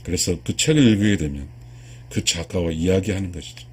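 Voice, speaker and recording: A man in his sixties from South Korea giving a spiritual lecture to viewers, earnest and emphatic, with a husky voice and repeated key phrases. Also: sits low, fairly quick. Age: 60s. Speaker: lecturer, video channel host.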